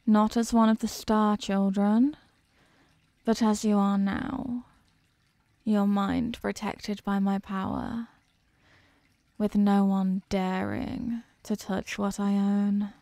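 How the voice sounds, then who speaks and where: A woman acting a slow, crackling, imperious eldritch horror. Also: medium pitch, flat delivery, mellow, dry recording. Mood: possessive, grandiose. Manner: slow, deliberate, condescending, commanding. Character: imprisoned ancient female eldritch being, imperious, possessive, seductively menacing. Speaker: audio roleplay performer. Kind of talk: scripted ASMR horror roleplay.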